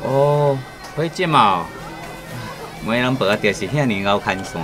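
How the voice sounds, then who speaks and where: An actor with a Taiwanese accent playing a confident, boastful veteran village chef in a radio drama. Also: male voice, mid-range voice, animated, unhurried, over music, lightly acted. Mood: chatty.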